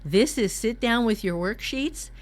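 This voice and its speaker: A woman's voice: fairly high pitch, expressive, unhurried. A woman co-hosting a writing workshop in casual brainstorming chat, teasing and prompting with questions. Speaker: workshop co-host and writer.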